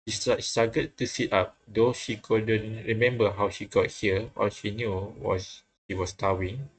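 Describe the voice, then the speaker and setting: Male game narrator, low register with a gravelly edge, halting read-aloud, frequent stumbles and restarts. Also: level tone, poor audio. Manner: often stumbles and repeats phrases. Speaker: host reading a visual novel aloud.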